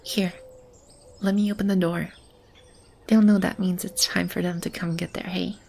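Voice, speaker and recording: A woman playing a gentle, nurturing keeper of a magical animal shelter in an ASMR roleplay, speaking softly and soothingly to a child. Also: lowish voice, little pitch movement, moderate pace, gravelly, slight background noise. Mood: warm, encouraging.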